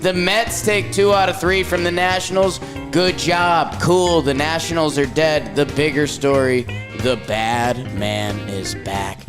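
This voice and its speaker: A young man co-hosting a casual sports show, joking and swinging his voice up and down as he recaps games. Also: music in the background. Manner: jokey, animated, quick swings between high and low voice. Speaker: podcast co-host.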